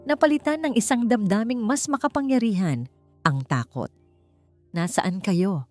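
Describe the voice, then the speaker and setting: A woman's voice: on the high side, sing-song, normal speed, soft background music. A woman reading a scripted, dramatic romance story as its narrator.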